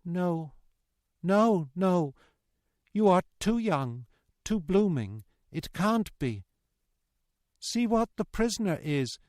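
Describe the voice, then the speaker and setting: A man voicing a broken, bewildered old prisoner in an audiobook, with halting, repetitive, agitated phrases. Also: high-pitched, big pitch swings, darkish tone, dry recording, clean studio sound, pitched above the performer's own voice, exaggerated voice. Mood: gloomy, wistful. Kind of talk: dramatic audiobook character reading.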